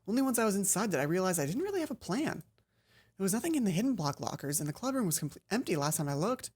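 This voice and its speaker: A man's voice: high-pitched, big pitch swings, very fast, breathy edge, exaggerated voice. A man narrating as a nervous young schoolgirl. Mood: hushed. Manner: storybook first-person narration, hushed and suspenseful. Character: young female student, nervous and wry narrator.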